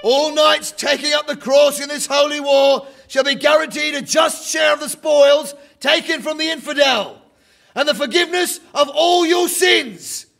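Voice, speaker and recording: A man playing a fervent medieval preacher in a historical radio drama, declaiming a drawn-out call to holy war. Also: very high-pitched, steady tone, creaky, fairly bright tone, soft background music, exaggerated voice. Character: fervent medieval crusade preacher rallying knights.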